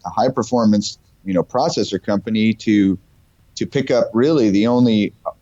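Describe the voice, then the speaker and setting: A mellow, low-pitched man talks informally and with enthusiasm, like a guest in a tech discussion. He has a cold. The call audio is dry and somewhat muffled. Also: moderate pace, dry recording. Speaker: guest company representative. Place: remote call over the internet.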